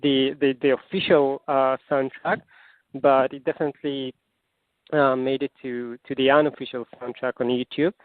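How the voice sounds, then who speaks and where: A man from Israel with an Israeli accent, a singer-songwriter answering interview questions in halting English with many fillers and restarts; Hebrew is his first language. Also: mid-range voice, level tone, dry recording. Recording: tinny phone line, dry.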